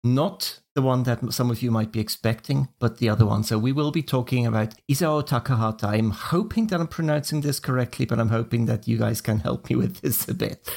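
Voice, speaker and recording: Man from Switzerland, podcast host, steady organized delivery, mid pitch. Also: gravelly. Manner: measured, organized, leads the talk. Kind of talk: casual podcast chat.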